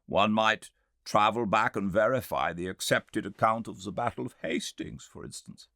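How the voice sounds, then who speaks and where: A man voicing a sceptical, dismissive learned gentleman in a storybook reading, with a pompous, emphatic manner. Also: fairly low pitch, moderate pace, vocal fry, no echo, studio quality, pitched above the performer's own voice. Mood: musing. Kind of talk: audiobook character reading.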